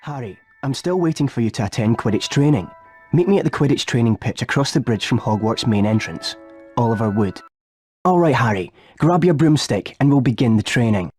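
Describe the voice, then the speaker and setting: A man's voice: mid-range voice, animated, a little fast, darkish tone, faint music behind, close to an everyday voice. A man playing an earnest teenage sports captain, giving businesslike coaching instructions in a video game. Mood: insistent. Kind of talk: scripted video game tutorial.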